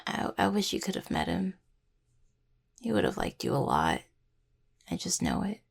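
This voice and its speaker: Creaky-voiced, halting young necromancer played by a woman. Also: lowish voice, wildly swooping pitch, heavily acted. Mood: grieving, wistful. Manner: halting, stammering, apologetic. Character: grieving young female necromancer, tender, apologetic, affectionate partner. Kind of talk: intimate audio roleplay addressed to the listener.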